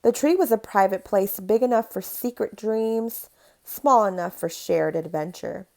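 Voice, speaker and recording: A woman's voice: mid-range voice, measured pace, vocal fry, a little mellow. A woman reading a children's picture book aloud in a storytelling style.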